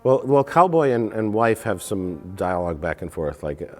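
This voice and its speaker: A man's voice: sits low, sing-song, moderate pace, darkish tone, faint music behind. A man in his forties co-hosting a casual movie chat in a dim studio, rambling and laughing as he riffs. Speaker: co-host. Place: dim studio set with curtains.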